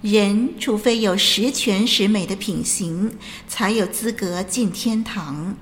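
A woman playing a solemn angel who judges souls in a radio drama, measured, authoritative and didactic, with music behind her. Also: mid-range voice, big-room sound, slight background noise, lightly acted. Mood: stern, solemn. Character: solemn female angel judging souls at heaven's gate. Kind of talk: radio drama acting, preaching delivery. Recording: music bed under the voice.

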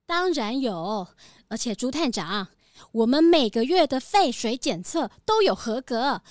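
A woman's voice: on the high side, sing-song, a little slow, darkish tone, no room sound, studio quality. A woman voicing a polite, helpful goat who runs a cookie factory in a children's audio drama. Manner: helpful, bleating.

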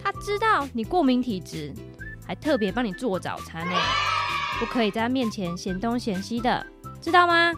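A woman playing a brisk, nagging but caring mother in a radio drama, with a Taiwanese Mandarin accent. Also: on the high side, sing-song, loud music behind the voice. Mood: instructing, chiding. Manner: bossy, coaxing.

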